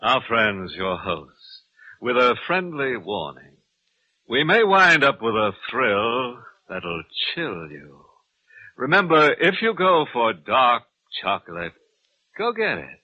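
An actor playing a gleefully macabre, wisecracking host of an old radio mystery show, sardonic and insinuating. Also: male voice, mid-range voice, very animated, slow. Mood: sly, salesmanlike. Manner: sardonic, insinuating, punning, chuckling. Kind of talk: 1940s radio horror hosting and sponsor read. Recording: muffled old radio recording.

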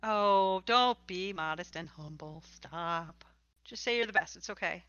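Female podcast host, casual and encouraging, crisp forward voice on dry, slightly lo-fi audio. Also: lowish voice, a little slow, a bit bright, no room sound. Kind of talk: casual conversational interview.

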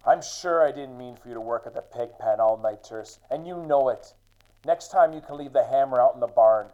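A man's voice: mid-range voice, brisk, phone-quality sound, natural voice. An actor playing a mild, reasonable male farm master admonishing a worker in a period radio drama. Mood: mildly admonishing.

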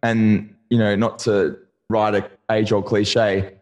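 A low-voiced Australian man in his early thirties, chatting as a podcast guest. His voice is a touch croaky from singing late the night before. He speaks with earnest enthusiasm. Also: little pitch movement, slow, a little mellow. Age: 30s. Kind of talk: casual interview chat.

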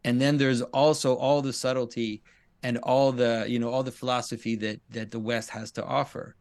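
A man's voice: medium pitch, level tone, normal speed, darkish tone. A man in thoughtful conversation, speaking in a reflective, intellectual way.

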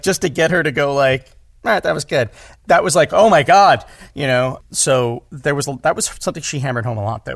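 A man's voice: medium pitch, gravelly. A man talking casually and emphatically in an interview about his craft, restating phrases as he explains. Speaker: audio drama director and podcast guest; former stage actor.

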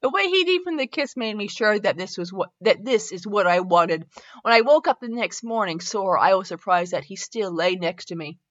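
A woman's voice: mid-range voice, lively intonation, moderate pace, pitched below the performer's own voice, close to an everyday voice. Woman reading as a wistful lovestruck male writer, lo-fi. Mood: wistful, grieving. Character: lovestruck young male writer narrating a tragic romance. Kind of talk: dramatic fan fiction reading.

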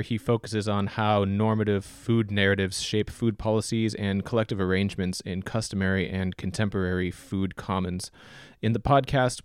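Podcast host guy, lowish voice, reads his intro kinda measured, dry close sound. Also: darkish tone, smooth, no room sound. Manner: measured, reading from notes.

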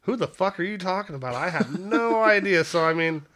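High-voiced rambling guy, swears a lot. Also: animated, fairly quick, fairly bright tone. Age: 30s. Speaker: podcast co-host. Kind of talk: casual stoned chat.

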